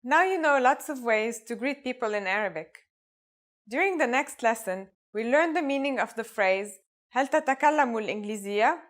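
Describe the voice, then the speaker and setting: Higher-voiced woman presenting a scripted lesson. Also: expressive, fairly quick. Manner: instructional, clearly structured phrase by phrase. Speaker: language lesson host.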